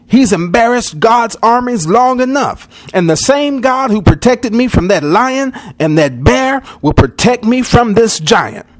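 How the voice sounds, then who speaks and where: Man acting a teenage shepherd boy, high voice, lively swings, earnest, defiant, brave, storybook character. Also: a little slow, few pauses. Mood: proud, determined.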